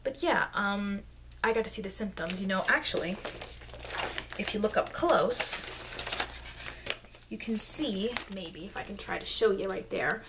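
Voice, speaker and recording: A woman playing a friendly female vampire in an ASMR roleplay, speaking softly and hesitantly as she rambles through explanations with murmured asides. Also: unhurried, pauses often, natural voice. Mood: earnest, reminiscing. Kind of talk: ASMR roleplay, soft-spoken improvised explaining. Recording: noisy low-grade mic with clicks.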